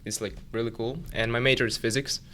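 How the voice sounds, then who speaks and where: A man's voice: medium pitch, fast, a little hiss or hum. Young man. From Uzbekistan. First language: Russian. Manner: relaxed, leans on "like" as filler. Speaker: podcast guest, student tutor. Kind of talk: casual interview chat.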